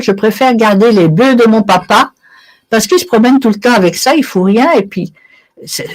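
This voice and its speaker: A woman of Italian origin speaks as an interview guest at a middling pitch. She talks conversationally, explaining things through examples and small anecdotes. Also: rough recording. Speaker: interview guest, charity sponsorship volunteer.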